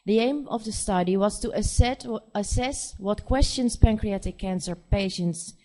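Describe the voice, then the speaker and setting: A woman with a Dutch accent, a nurse practitioner giving a conference presentation in English, her second language, speaking haltingly with many fillers. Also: medium pitch, dark tone. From Netherlands. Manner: hesitant, halting speech with frequent fillers.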